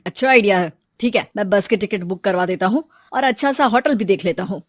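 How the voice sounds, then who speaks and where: A woman's voice: mid-range voice, a little fast, no echo, clean studio sound, close to an everyday voice. A woman voicing an easygoing, eager young man in a storybook tale. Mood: eager, casual. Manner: casual, breezy. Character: eager, easygoing young male friend planning trips.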